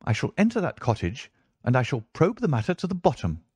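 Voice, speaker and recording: A man's voice: mid-range voice, huge pitch swings, a little fast, darkish tone, slightly rough, dry recording, exaggerated voice. A man voicing a troubled, suspicious husband in an audiobook, insistent, stern and agitated. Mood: accusing. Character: troubled, suspicious husband confronting his wife. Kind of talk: audiobook character dialogue.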